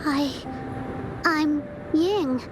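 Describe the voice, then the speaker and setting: A woman's voice: sits high, extremely slow, background noise. A woman voicing a shy, wistful young girl in a video game, speaking in a hesitant, timid manner.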